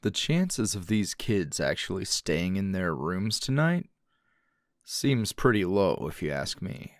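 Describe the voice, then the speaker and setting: Man voicing weary flirtatious anime hero, deep-pitched, very slow, intimate ASMR roleplay, teasing murmur. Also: big pitch swings, no room sound, studio quality, heavily acted. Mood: dry, amused. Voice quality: low, gravelly. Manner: slow, breathy murmuring with sighs and long pauses.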